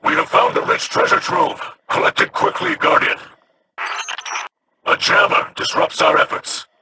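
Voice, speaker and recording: A man voicing an honorable alien captain in a video game, speaking formally and sternly in a rasping growl. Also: extremely high pitch, wildly swooping pitch, a little slow, background noise, exaggerated voice. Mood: commanding. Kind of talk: video game character dialogue.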